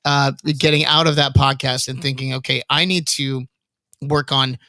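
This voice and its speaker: A man's voice: flat delivery, moderate pace, bright, forceful tone, no echo. Casual male podcast host with a tenor voice. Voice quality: self-described high tenor voice. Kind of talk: casual podcast chat about own experiences.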